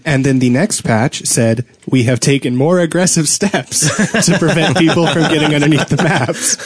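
A man's voice: on the high side, normal speed, breathy edge. A man co-hosting a podcast in casual chat, with a teasing, jokey manner. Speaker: podcast co-host.

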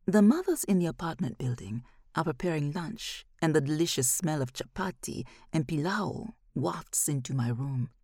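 A woman narrating a first-person literary short story in a measured, expressive way. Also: deep voice, breathy edge, no echo, studio quality.

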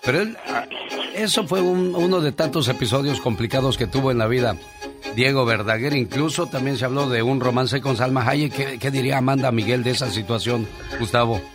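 A man's voice: medium pitch, fairly quick. A man hosting a radio show in a casual, informal chat, telling things as anecdotes. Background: music bed.

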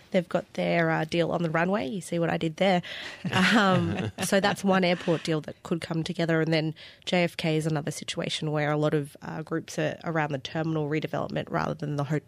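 A woman's voice: low voice, creaky. A woman from Australia with an Australian accent, explaining finance topics as a guest reporter in a radio studio with casual asides. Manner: casual, explanatory, light wordplay. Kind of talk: radio interview.

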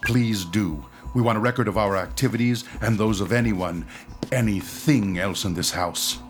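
A man plays a stern doctor in charge of a ghost-hunting team, with a low voice. He speaks formally and precisely, like a solemn lecturer in a radio horror play. Faint music runs behind him. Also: normal speed, lightly acted. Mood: grave, ominous. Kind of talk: radio horror drama acting.

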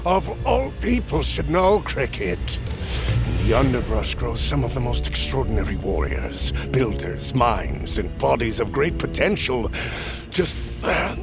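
A male actor playing a solemn, persuasive leader of smuggler outcasts in a fantasy audio drama, with measured, formal, archaic phrasing. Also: medium pitch, big pitch swings, loud music behind the voice. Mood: knowing, provoking. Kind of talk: audio fiction acting.

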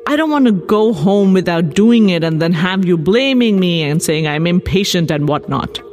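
Woman playing an eager husband, coaxing and a bit impatient, pitched up some, story reading over music. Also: lively intonation, normal speed, a little mellow, close to an everyday voice. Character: eager, agreeable husband, gently pleading and impatient. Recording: music bed behind voice.

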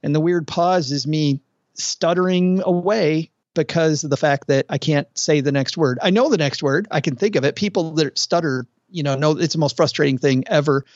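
Talkative male podcast co-host, middle-aged, with a gravelly, slightly high voice on a dull, dry recording. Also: normal speed, dry recording. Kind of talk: casual conversational chat.

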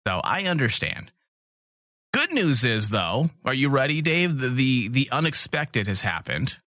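A male podcast co-host with a mid-pitched voice talks casually about fandom. He is emphatic and jokey, and the recording is close and dry. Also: big pitch swings, unhurried, bright, forceful tone, dry recording. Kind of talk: casual fan podcast chat.

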